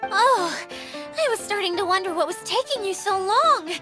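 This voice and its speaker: Brief: very high, giggly young caretaker with a lively sing-song voice, music bed. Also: female voice, moderate pace. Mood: cheery. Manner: bubbly, giggly. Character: cheerful, self-satisfied young woman caretaker. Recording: game cutscene with music behind.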